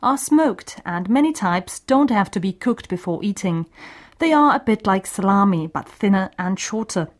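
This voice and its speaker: A woman hosting a scripted radio language course, explaining things in a clear teaching manner. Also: mid-range voice, expressive, moderate pace.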